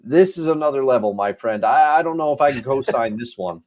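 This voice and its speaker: A young man co-hosts a podcast in a relaxed, conversational tone, his voice sitting at an ordinary middle pitch. He leans into points with emphasis and laughs along with his partner. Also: brisk. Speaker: podcast co-host. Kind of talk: casual podcast chat and review.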